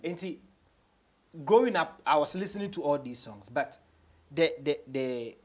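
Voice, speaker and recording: A man's voice: on the high side, lively intonation, a little slow, darkish tone. A young Ghanaian man, a rapper being interviewed, casually telling stories in a mix of English and Twi. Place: small radio studio office, seated on a couch.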